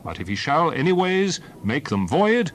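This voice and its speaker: A stern biblical leader laying down law. His voice is fairly high and very animated, with emphatic, authoritative delivery and faint background noise. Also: moderate pace. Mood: solemn instruction. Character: Moses, stern authoritative male leader and lawgiver. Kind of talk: dramatized scripture reading.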